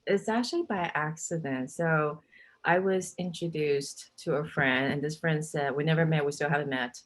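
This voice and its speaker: Low-voiced chatty woman from Taiwan. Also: normal speed. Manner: laughs often, chatty. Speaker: guest, real estate agent. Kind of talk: casual interview chat.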